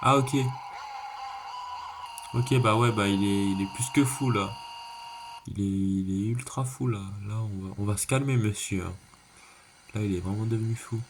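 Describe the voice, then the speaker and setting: A man's voice: lowish voice, measured pace, long pauses. A man giving a casual, slangy reaction commentary, thinking aloud and repeating himself. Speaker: reaction video creator. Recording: clearly noisy background.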